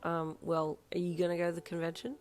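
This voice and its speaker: A woman's voice: on the low side, completely flat delivery, fast, darkish tone, soft background music, close to an everyday voice. Story role: laid-back, keen student boy gamer, read by a woman, slangy offhand delivery. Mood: impressed, eager. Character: casual, eager teenage boy gamer.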